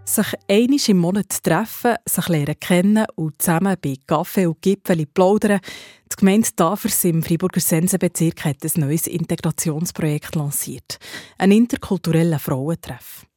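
Female regional news presenter, Swiss German accent, lowish warm tone. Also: moderate pace, no room sound, studio quality.

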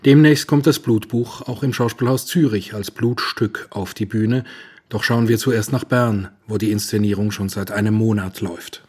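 A man narrating a scripted culture report for radio in a measured, literary delivery. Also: on the low side, fairly even intonation, a little mellow, breathy edge.

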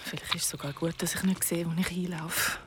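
A woman playing a frightened young female ghost in a radio play, breathless and halting, her bravado cracking. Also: fairly low pitch, steady tone, background noise, close to an everyday voice. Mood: uneasy. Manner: breathless, halting, repeating herself.

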